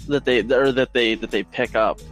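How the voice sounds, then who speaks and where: A man's voice: medium pitch, fairly even intonation, moderate pace, faint music behind. A man guesting on a podcast, jokey and wisecracking in casual chat.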